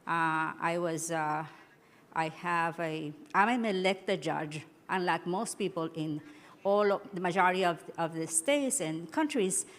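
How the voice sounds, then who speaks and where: Hesitant woman in a big hall. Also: fairly low pitch. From Ecuador. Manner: halting, many restarts and fillers. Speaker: judge giving a panel talk. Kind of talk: conference speech, switching between English and Spanish. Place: large conference hall. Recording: reverberant conference hall.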